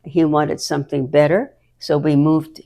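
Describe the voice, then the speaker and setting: A woman's voice: low-pitched, level tone, moderate pace, creaky, mellow. A woman in her nineties with a Hawaiian accent, chatting and reminiscing as an interview guest, laughing often. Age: 90s. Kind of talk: reminiscing conversation.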